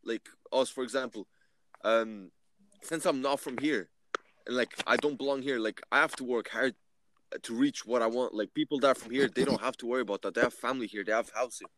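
Earnest man, quick. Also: mid-range voice, fairly bright tone, low-quality recording. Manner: earnest, fast, leans on "like". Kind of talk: casual discussion.

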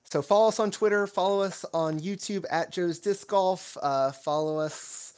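A man's voice: high voice, normal speed, a little mellow, clean tone. A man co-hosting a podcast, chatting in an upbeat, agreeable way. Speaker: podcast co-host. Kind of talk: casual chat.

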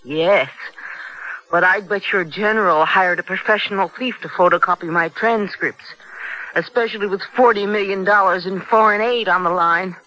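A woman's voice: medium pitch, normal speed, bright, forceful tone, close to an everyday voice. An actress playing a defiant, bitter young American woman held prisoner, in a radio drama. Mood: defiant, sardonic. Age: young adult. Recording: dull old radio recording.

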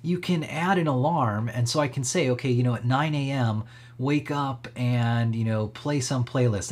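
A man giving a casual, upbeat software walkthrough as a tech stream host, talking in an easygoing, approving way. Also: mid-range voice.

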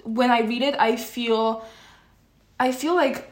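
A woman's voice: a little high, steady tone, takes it slowly. Polish teenage girl, hesitant and echoey. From Poland. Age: teens. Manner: hesitant, many fillers and restarts. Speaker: podcast co-host. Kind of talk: casual chat. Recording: echoey room.

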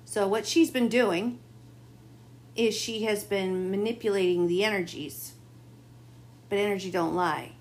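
A woman doing a late-night tarot reading, talking in a rambling, confiding way, her voice gone a bit raspy. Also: mid-range voice, measured pace, pauses often. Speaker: tarot reader. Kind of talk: late-night spiritual monologue.

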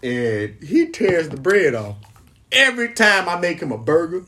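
Animated high-voiced man joking. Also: a little hiss or hum. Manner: animated, joking, quick reactions. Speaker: podcast co-host. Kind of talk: casual chat.

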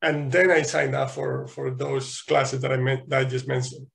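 Gravelly man telling stories. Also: mid-range voice, moderate pace. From Spain. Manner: animated storytelling, frequent restarts and laughs. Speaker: guest. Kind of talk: casual interview chat.

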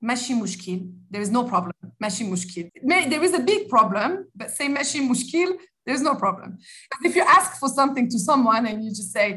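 Moroccan-accented woman explaining phrases, fairly high voice, laughs easily. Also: expressive, fast, pushed and bright. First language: Arabic and French. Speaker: language teacher guest.

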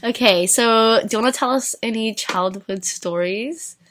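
A woman who asks questions in a casual chat, reacting with quick teasing remarks and frequent laughter. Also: fairly high pitch, a bit bright. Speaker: interviewer.

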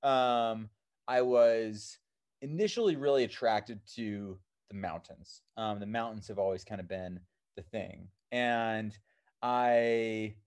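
A man's voice: lowish voice, takes it slowly, darkish tone, clean tone. A man in his thirties with an American accent, born in New Zealand and raised in Seattle, answering interview questions casually with many fillers. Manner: rambling, many fillers like "um" and "you know". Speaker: alpine climber and filmmaker, guest.

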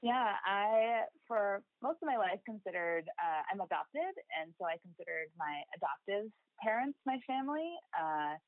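A woman's voice: mid-range voice, lively intonation, normal speed, no room sound. A woman from Ohio, a podcast guest, talking casually and hesitantly with frequent fillers. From United States (Ohio). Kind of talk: casual conversation. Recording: thin phone-like line, dry.

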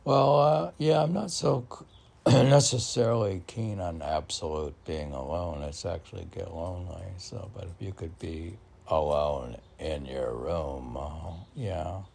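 A man with a very low, husky voice muses through his own poems on a homemade podcast. He speaks haltingly and at a slow pace, wandering between reading and talking to himself, often clearing his throat. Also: darkish tone. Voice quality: gravelly, husky. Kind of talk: informal poetry reading with rambling self-dialogue.

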